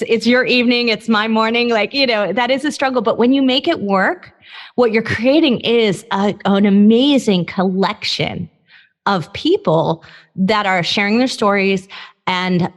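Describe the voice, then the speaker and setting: A woman's voice: on the high side, a bit bright, big-room sound. A woman hosting a podcast interview, enthusiastic and affirming toward her guest. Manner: enthusiastic, affirming, quick to laugh. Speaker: podcast host.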